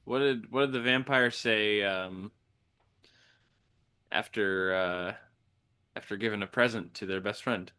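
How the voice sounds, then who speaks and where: A man's voice: lowish voice, unhurried, long pauses, sharp tone, clean tone. A man chatting playfully with friends, telling puns and jokes. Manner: playful, punning. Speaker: player. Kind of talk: casual chat.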